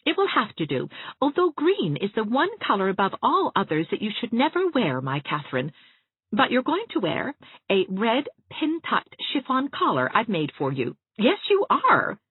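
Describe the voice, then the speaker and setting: A woman voicing a cheerful, eager young woman who talks in a lively, coaxing way. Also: on the high side, normal speed, breathy edge, no room sound, pitched above the performer's own voice, heavily acted. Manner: bright, lively, persuasive. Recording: thin, phone-like narrow sound.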